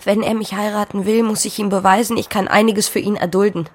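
Husky, troubled young maid played by an actress. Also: female voice, medium pitch, level tone, brisk, close to an everyday voice. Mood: stubborn. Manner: earnest, troubled, formal stage diction. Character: poor young pregnant farm maid, fearful yet stubborn. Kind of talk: German radio play acting.

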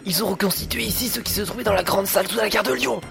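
An anxious male police inspector in an audio drama. He grumbles with sardonic exasperation and sounds nervy. His lines sit over clearly audible music. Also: sits high, very fast. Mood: uneasy, grumbling. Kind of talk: French audio drama acting.

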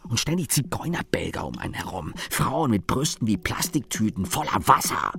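A man's voice: lowish voice, big pitch swings, normal speed, gravelly, fairly bright tone, exaggerated voice. A man playing a weary, cynical office engineer in a radio play, muttering a sardonic monologue in clipped fragments. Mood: bitter, contemptuous.

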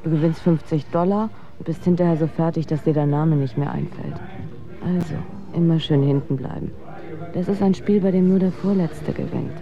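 A woman's voice: low-pitched, fairly even intonation, moderate pace, warm tone, dull audio, soft background music. An actress playing a cynical, worldly-wise, foul-mouthed woman contestant in a radio drama, blunt and sardonic. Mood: jaded, knowing.

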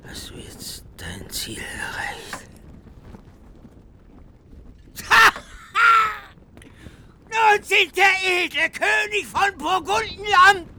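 A man's voice: very high voice, pushed and bright, a little hiss or hum, heavily acted. An actor playing a grim, defiant warrior who mocks his captor in a radio drama. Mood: grim defiance. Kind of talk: German radio drama acting.

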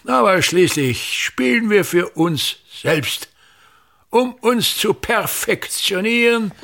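Gravelly, pompous theatre tyrant. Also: male voice, high voice, a bit bright, a little hiss or hum, heavily acted. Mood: bitter, contemptuous. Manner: grandiose, pedantic, repetitive monologuing. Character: pompous, domineering older theatre-maker father and actor-director. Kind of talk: radio drama acting.

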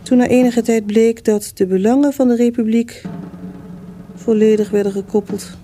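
A woman giving scripted historical narration for a radio drama in a measured, formal storytelling manner. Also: fairly high pitch, fairly even intonation, mellow, slight background noise.

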